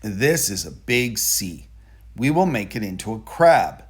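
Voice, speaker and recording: A man reading a children's picture book aloud in a deliberate, expressive storytelling manner. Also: on the low side, breathy edge. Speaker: storybook reader.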